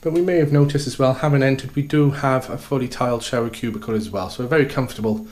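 A man's voice: medium pitch, fast, a little mellow, a little hiss or hum. A man, an estate agent, giving an upbeat walkthrough tour of a house, full of sales patter and repeated "okay" and "fantastic".

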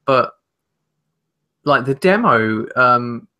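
Young male podcast co-host, bright forward voice, informal and halting delivery, casual gaming chat. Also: mid-range voice, expressive. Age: young adult. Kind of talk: casual chat about video games. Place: small room at home.

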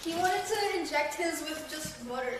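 A woman's voice: very high voice, lively intonation, moderate pace, background noise. A young woman from Kansas, teasing and giving cooking instructions in a home kitchen. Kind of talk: casual banter while cooking. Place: large home kitchen, indoors. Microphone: camera mic across the room. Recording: very echoey kitchen, distant from mic.

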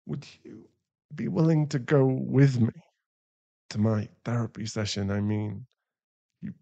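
A man performs a shaken, loving werewolf boyfriend in an intimate ASMR roleplay. His male voice sits at an average pitch with a gravelly creak. He speaks haltingly and unhurriedly, heavy with emotion and shame. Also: dark tone, lo-fi sound. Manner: halting, emotional, pleading, with sighs and breathy drops into whispers.

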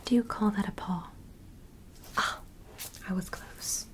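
A woman whispering as a gentle, curious alien doctor in a soft, soothing ASMR medical roleplay. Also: mid-range voice, fairly even intonation, lightly acted. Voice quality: breathy unvoiced whisper. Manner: soft, slow, soothing reassurance with clinical instructions. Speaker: ASMR performer.

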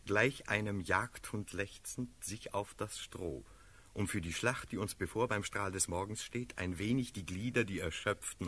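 An actor playing a brisk, sardonic count and officer in a classical German radio drama, declamatory and emphatic. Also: male voice, on the low side, fairly bright tone, slightly rough, full character voice.

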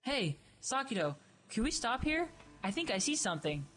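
A woman playing a sarcastic, brash young female creature in an audio drama, colloquial and expressive. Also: a little high, moderate pace, soft background music. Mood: grumbling. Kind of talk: dramatized webcomic audio play.

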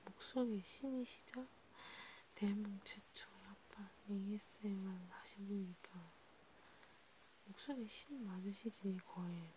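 A woman speaking in a hushed, rambling ASMR style, half whispering, as if chatting one-to-one with a listener. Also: mid-range voice, a little slow, a little hiss or hum. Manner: hushed, rambling, intimate one-sided conversation. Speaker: ASMR creator. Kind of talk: soft ASMR roleplay chat, partly whispered.

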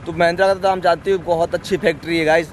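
A young man with a high voice talks casually to his vlog viewers. He is chatty and repeats himself, with clear street and vehicle noise behind him. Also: fairly even intonation, fast. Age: young adult. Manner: chatty, repetitive, addressing viewers as guys. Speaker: vlog host. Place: outdoors along industrial roadsides. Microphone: camera held at arm's length. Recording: noisy street with vehicle traffic.

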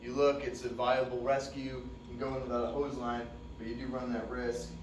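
A young man teaches a room of firefighters, talking loosely and conversationally, often pausing on "uh." He stands well back from the camera, so his voice carries the reverberation of the classroom. A faint hum of room noise sits underneath. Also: mid-range voice. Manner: relaxed, conversational, many "uh" fillers. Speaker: fire service instructor. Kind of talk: classroom training presentation. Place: dim training room with projector screen. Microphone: far camera. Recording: echoey room, distant mic.

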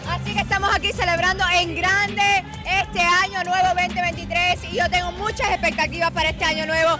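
A woman's voice: very high voice, flat delivery, a little fast. A young woman hosting an outdoor event interview, speaking in an effusive, enthusiastic way with music behind her. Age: young adult. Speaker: TV show host and interviewer. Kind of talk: upbeat on-location event interviewing. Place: outdoors at a night park festival. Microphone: handheld microphone.

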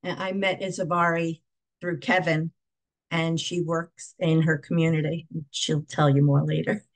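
A woman's voice: low-pitched, little pitch movement, measured pace. A woman chatting casually as a participant on a group video call. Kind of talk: casual chat.

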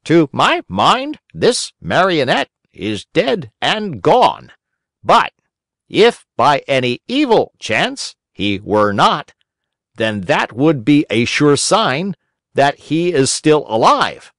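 A man's voice: fairly high pitch, wildly swooping pitch, slow, a bit bright, no echo, studio quality, voice pushed up, exaggerated voice. A man voicing a solemn, pompous crow doctor.

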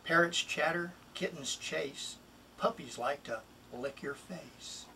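A middle-aged man, a children's author, introducing and expressively reading a picture book in a deliberate, emphatic way. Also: a little high, pushed and bright. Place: small wood-panelled home office, indoors. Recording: distant mic, room noise.

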